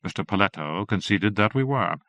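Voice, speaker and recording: Man narrating a horror story, formal, lowish pitch, dry close sound. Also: expressive, a little fast, sharp tone, no room sound. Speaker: audiobook narrator. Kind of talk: audiobook reading of a period horror story.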